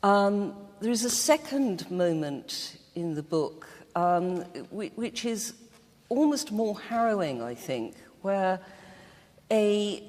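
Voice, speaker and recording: A woman speaking as a guest in a reflective literary discussion, with a halting, measured delivery. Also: mid-range voice, sing-song, darkish tone. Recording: very reverberant hall.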